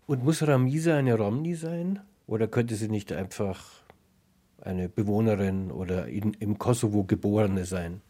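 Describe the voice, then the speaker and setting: Mellow-voiced male radio interviewer. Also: fairly low pitch, moderate pace.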